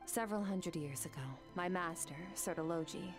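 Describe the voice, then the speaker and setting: Low-voiced, aloof warrior woman played by an actress. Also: lightly acted. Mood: cool, resolute. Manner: composed, matter-of-fact, measured. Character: cool, aloof female warrior mentor from another planet. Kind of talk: video game voice acting. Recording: faint game music behind.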